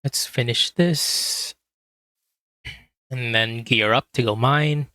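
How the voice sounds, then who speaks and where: A man with a hoarse voice, quietly talking himself through a game as he plays, in a relaxed, slow, muttering way. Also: mid-range voice, dry recording. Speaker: gaming video host. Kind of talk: casual gameplay commentary.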